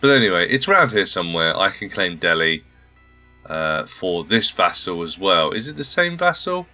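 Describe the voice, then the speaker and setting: A low-voiced man talks through a strategy game in a courteous, rambling way, slightly under the weather. His tone is bright and forceful. Soft music plays underneath. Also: sing-song, normal speed. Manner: polite, formal greetings, thinking aloud. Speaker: gaming channel host. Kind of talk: casual let's-play commentary.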